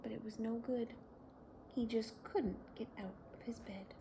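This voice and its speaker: A woman hosting a children's read-aloud, telling a bedtime story in a gentle, expressive way. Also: a little high, normal speed, background noise. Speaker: children's story reader and host.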